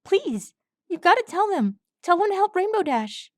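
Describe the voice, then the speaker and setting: A woman's voice: extremely high pitch, animated, a little fast, darkish tone, no echo, voice pushed up. A woman voicing a frantic young male colt who pleads urgently, breathless, his voice cracking. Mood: desperate.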